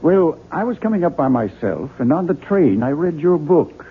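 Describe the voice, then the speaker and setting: Muffled vintage radio, an insistent male publisher, gravelly, swooping animated pitch, coaxing, theatrical. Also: normal speed, full character voice. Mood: genial. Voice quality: raspy, gravelly. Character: persuasive, effusive male book publisher, avuncular and insistent. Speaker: radio drama actor. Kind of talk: 1970s radio drama acting. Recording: muffled, narrow-band vintage radio recording.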